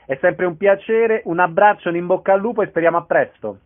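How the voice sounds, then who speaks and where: A man's voice: high-pitched, a little fast, phone-quality sound. A man hosting a radio interview in a courteous style.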